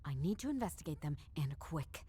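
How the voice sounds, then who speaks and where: An actress playing a tough, dry-witted woman detective who narrates a noir game in a deadpan, understated way. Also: low-pitched, a little fast, a little hiss or hum. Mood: intent, wary.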